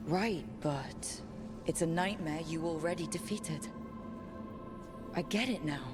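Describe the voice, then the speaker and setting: A woman playing a steady, caring adventurer in a video game, speaking in an earnest, frank and reasoning way. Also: low voice, slow, over music. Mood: understanding. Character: steady, caring, frank female adventurer talking a companion through pain. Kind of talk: dramatic video game voice acting.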